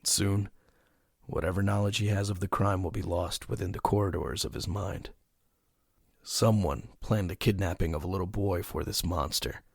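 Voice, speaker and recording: A man as a deep gravelly brooding vigilante. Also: normal speed, no room sound, studio quality, full character voice. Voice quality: gravelly, husky. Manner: terse, brooding, stern. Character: grim, brooding male masked vigilante detective. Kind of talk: audio drama narration and dialogue.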